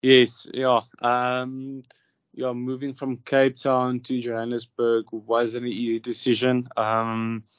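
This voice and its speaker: A young South African man with an Afrikaans background answers questions in a flat, hesitant voice, stopping often to say um and restart. The recording is dry and somewhat dull, with little room sound. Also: medium pitch, takes it slowly, smooth, no room sound. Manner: hesitant, many ums and restarts. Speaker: professional golfer, interviewee. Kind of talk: casual interview answers.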